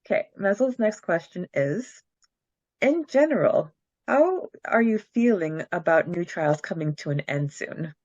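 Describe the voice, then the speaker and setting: A woman's voice: fairly low pitch, expressive, a little slow, darkish tone, no echo, muffled recording. A woman co-hosting a podcast, chatting casually and reading out listener questions and answers in an upbeat way. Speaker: podcast co-host.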